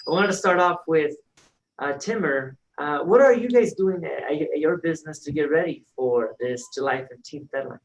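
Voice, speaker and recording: A man's voice: a little high, moderate pace, poor audio. A man hosting a casual video call chat, easygoing and chatty as he introduces guests and asks questions. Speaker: host.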